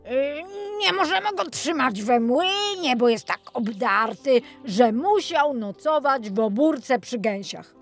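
A woman voicing a blunt, excitable old male miller in a children's fairy tale. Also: high voice, slow, soft background music, pitched above the performer's own voice, heavily acted. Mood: dismissive.

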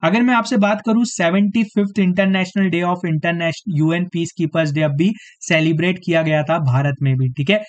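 A man teaching a live online exam-prep quiz, slightly hoarse, clearing his throat now and then and tagging his sentences with a question of agreement to his students. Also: on the high side, normal speed, hardly any pauses. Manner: repeats "theek hai" as a tag, addresses students directly.

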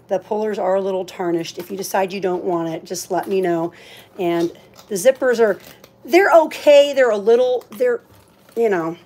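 A woman's voice: medium pitch, a little mellow. A woman hosting a live auction in casual, chatty talk, thinking aloud as she handles items. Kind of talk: casual livestream sales chat.

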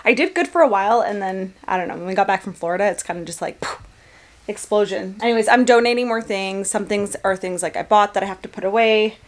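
A woman in her thirties chatting casually to a handheld camera at home, bubbly and quick to laugh. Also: medium pitch. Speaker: vlogger, new mom. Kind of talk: casual vlog chat. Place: large bright home kitchen and bedroom. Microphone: camera held at arm's length.

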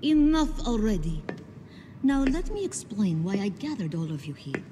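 An actress playing a poised, calculating secret organiser in a video game, speaking in a composed, formal and persuasive way. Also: female voice, medium pitch, very animated, normal speed, mellow, lightly acted. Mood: grave, persuasive. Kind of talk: video game cutscene voice acting. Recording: reverberant hall, faint background noise.

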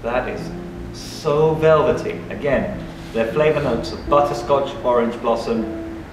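A man's voice: mid-range voice, unhurried. A young man hosting a casual coffee tasting in a small cafe, enthusiastic and thinking aloud, recorded by a far camera mic. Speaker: coffee reviewer and show host. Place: dim cafe interior, in a small room. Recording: roomy, distant, with music behind. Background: background music.

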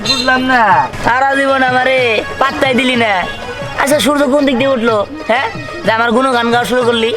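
A man's voice: extremely high pitch, level tone, quick pace, pauses often. A young male performer playing a naive, aggrieved village lad, complaining colloquially in a comedy drama. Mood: suspicious. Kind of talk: Bengali comedy short drama. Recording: film audio under loud music, crowd.

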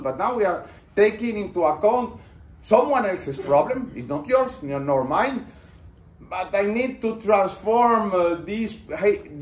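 A male lecturer with a rather high voice teaches a class, asking his students questions and then picking up their replies. The sound carries the roomy echo of a lecture room. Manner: poses questions to the class and builds on answers. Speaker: university lecturer.

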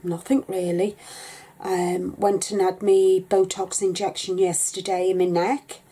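A woman's voice: on the low side, little pitch movement, unhurried, mellow, slightly rough. A woman, chatting casually to a desk webcam in her craft room at home, rambling with plenty of ums. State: bunged up with a cold, coughing. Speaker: craft vlogger. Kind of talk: casual chat to camera.

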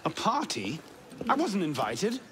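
A man's voice: high-pitched, huge pitch swings, unhurried, fairly bright tone, a little hiss or hum, full character voice. An actor playing a cocky, roguish young Frenchman in a video game, wry and flippant in manner. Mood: casual, wry. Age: young adult. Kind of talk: video game cutscene acting.

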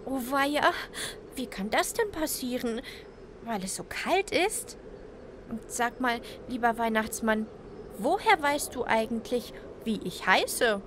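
Children's audio play: actress for eager, helpful little girl cloud, high childlike voice, unhurried, faint background noise. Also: expressive. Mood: concerned, curious.

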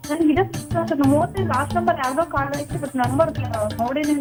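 A woman on a phone call speaks in a very high voice at a quick clip. Music runs behind her. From Karnataka. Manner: combative, insistent. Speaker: prank call target. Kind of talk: heated phone conversation.

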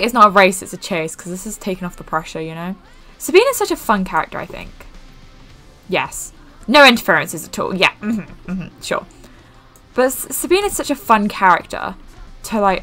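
Rambling young woman gaming host. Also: medium pitch. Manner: self-described monotone, rambling with fillers. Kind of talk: casual gameplay commentary. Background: faint game music.

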